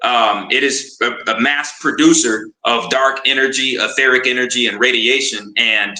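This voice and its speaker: A man's voice: mid-range voice, fairly even intonation, normal speed, sharp tone. A man appearing as a guest on a talk show, starting his points with stammered repeats before explaining earnestly. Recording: echoey room.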